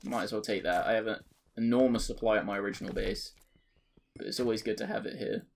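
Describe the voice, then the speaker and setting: A man in his twenties giving rambling, joking commentary over a video game, his voice cracking and jumping between high and deep. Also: steady tone, fast, gravelly. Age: 20s. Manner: rambling, joking, self-mocking. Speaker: let's play creator. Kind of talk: casual gameplay commentary.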